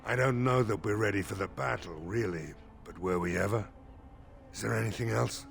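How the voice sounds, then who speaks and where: Gravelly, weary village militia leader. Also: male voice, fairly low pitch, normal speed, soft background music. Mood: weary, grim. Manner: plain-spoken, grim. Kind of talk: video game voice acting.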